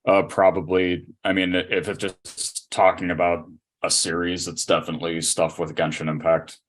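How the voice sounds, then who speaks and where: A man's voice: low-pitched, little pitch movement, normal speed. A man speaking casually as a guest on a podcast. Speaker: podcast guest, interviewer with an online channel. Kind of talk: casual chat.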